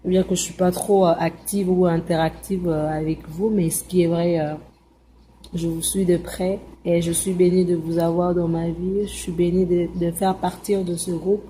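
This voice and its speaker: Woman, low and flat, unhurried; tender, repetitive voice note. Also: slight background noise, rough recording. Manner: soft, affectionate, repetitive. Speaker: group member. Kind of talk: casual voice message.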